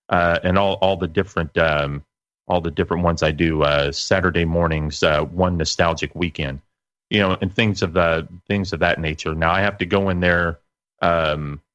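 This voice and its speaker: A middle-aged man with a very low voice speaks as an interview guest. He explains things step by step, pausing as he goes. The sound is dry and slightly dull, as over a remote connection. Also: fairly bright tone, dry recording.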